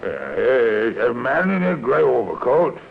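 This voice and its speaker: Flustered official for radio drama: creaky, hesitant, on a dull, hissy recording. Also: male voice, medium pitch, big pitch swings, heavily acted. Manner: muttering, halting, repeating himself. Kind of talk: old radio crime drama acting.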